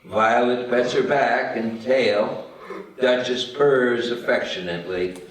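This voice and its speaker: A man's voice: mid-range voice, slow pace. A man reading stage directions as scripted narration at a table read. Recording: very echoey room, far from mic.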